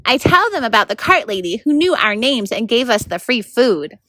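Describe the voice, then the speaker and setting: A woman reading a children's novel aloud as an expressive, animated storyteller. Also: on the high side, moderate pace, no echo, low-quality recording. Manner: animated, acts out the narration. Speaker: volunteer reader of a children's novel.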